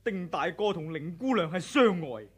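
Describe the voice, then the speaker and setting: A man's voice: a little high, very animated, normal speed, husky. A young man with a Hong Kong Cantonese accent playing an earnest, kind young hero in a radio drama. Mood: accusing. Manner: earnest, plain-spoken. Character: plain-spoken young male hero, weary and kind. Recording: old radio drama, loud crowd and noise.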